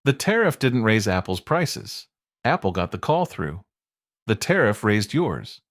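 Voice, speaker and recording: A man reading scripted explainer narration in a measured, emphatic way, built on short dramatic statements. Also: on the low side, big pitch swings, no room sound, clean studio sound.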